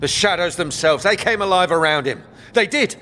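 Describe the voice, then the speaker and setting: A man's voice: high-pitched, animated, moderate pace, bright, forceful tone, slight background noise. A man playing an anxious, vengeful street thug in a fantasy audio drama, agitated in his speech. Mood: scared, pleading. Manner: agitated, pleading and accusing.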